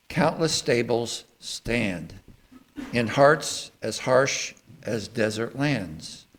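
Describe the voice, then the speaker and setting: A man with a mid-range voice addresses a congregation as a service speaker. He speaks in a measured, earnest way, moving between sermon and liturgical reading. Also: mid-range voice, vocal fry.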